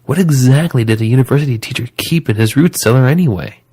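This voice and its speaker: A man's voice: medium pitch, fast, dark tone, slightly rough. A man reading scripted story narration as the narrator of an audio drama.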